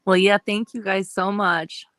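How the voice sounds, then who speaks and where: A woman's voice: mid-range voice, fairly even intonation, a little slow, a bit bright, dry recording, studio quality. A woman from Michigan calling into a live show, bubbly and grateful. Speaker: caller. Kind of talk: call-in chat.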